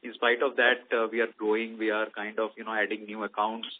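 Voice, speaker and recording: A man with an Indian accent explaining business results as an executive on an earnings call, in a halting, hesitant manner. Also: fairly low pitch, little pitch movement. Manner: hesitant, halting, with frequent "you know" and "let's say". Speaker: company executive answering analysts' questions. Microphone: telephone conference call. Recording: tinny narrow phone line.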